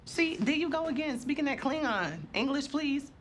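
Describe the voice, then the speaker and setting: A woman's voice: high voice, expressive, normal speed, a little hiss or hum. An actress playing an exasperated, determined, plain-spoken woman in naturalistic screen dialogue. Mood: exasperated, insistent.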